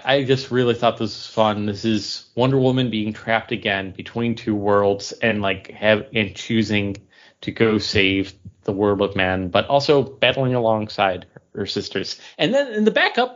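A man's voice: fairly low pitch, animated, unhurried. A man co-hosting a comics podcast in casual chat, trailing off and restarting when he reaches for names. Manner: chatty, trails off and restarts while recalling names. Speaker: podcast co-host. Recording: dull lo-fi podcast audio.

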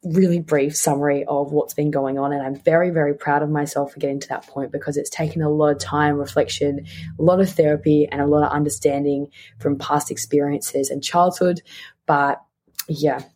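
A woman's voice: low voice, steady tone, brisk, dark tone. A woman in her twenties with an Australian accent, chatting casually and candidly as a solo podcast host, often repeating words for emphasis. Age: 20s.

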